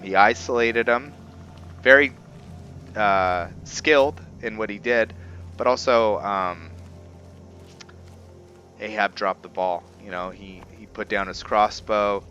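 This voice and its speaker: A man hosting a roleplay playthrough with casual game commentary, halting as he thinks aloud. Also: fairly low pitch, lo-fi sound. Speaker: gameplay host, roleplayer. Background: soft background game music.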